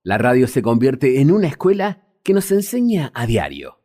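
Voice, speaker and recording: A man's voice: medium pitch, very animated, moderate pace, dark tone. A man with an Argentine accent reading scripted documentary narration as a presenter. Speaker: podcast host narrator. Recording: music bed behind voice. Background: background music.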